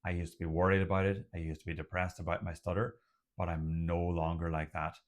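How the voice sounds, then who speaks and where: Deep-voiced guy who stutters sometimes, slow emphatic pep talk to camera. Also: flat delivery. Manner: stutters at times, slow deliberate emphatic delivery. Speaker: host of a stuttering support channel.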